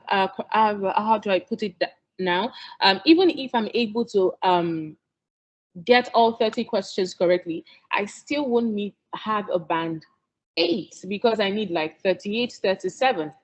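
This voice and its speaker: A woman's voice: mid-range voice, lively intonation, a little slow, low-quality recording. A Nigerian woman whose first language is Yoruba, giving an earnest exam-prep lesson as a tutor, coaxing listeners and checking in with "okay?". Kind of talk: instructional talk.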